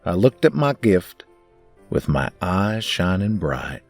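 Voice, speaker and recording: A man's voice: low voice, very animated, takes it slowly, darkish tone, soft background music, full character voice. A man voicing a big brother farm pony who tells a rhyming holiday story. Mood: calm storytelling.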